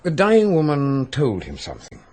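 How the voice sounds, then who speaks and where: An actor playing a breezy, wry police doctor, brisk and curious, in a radio drama. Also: male voice, a little high, wildly swooping pitch, a little mellow. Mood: intrigued.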